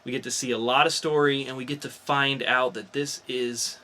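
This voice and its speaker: A man's voice: medium pitch, steady tone, normal speed, sharp tone. A man hosting a podcast in casual chat, informal and opinionated in the way he talks. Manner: opinionated, informal, mildly profane. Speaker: podcast host. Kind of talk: casual podcast chat about comics and movie news.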